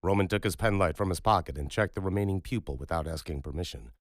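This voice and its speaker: A man narrating a dark thriller audiobook in a measured, moody storytelling manner. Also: sits low, dry recording, studio quality.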